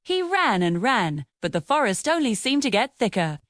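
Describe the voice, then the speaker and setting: A woman's voice: a little high, big pitch swings, moderate pace, no room sound, studio quality. A woman reading a scripted children's story as its narrator.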